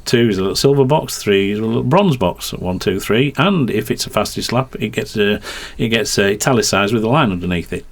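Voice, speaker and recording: A man's voice: lowish voice, expressive, a little fast, hardly any pauses. A middle-aged man with a light Yorkshire twang, a racing commentator explaining at length in a rambling, hesitant way. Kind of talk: podcast conversation.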